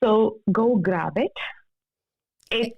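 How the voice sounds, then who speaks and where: A woman's voice: a little high, drawn-out words, gravelly, breathy edge, no room sound. A woman speaking as a coaching guest in a conversational interview, earnest, emphatic and motivational. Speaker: love coach, podcast guest. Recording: thin phone-like call audio.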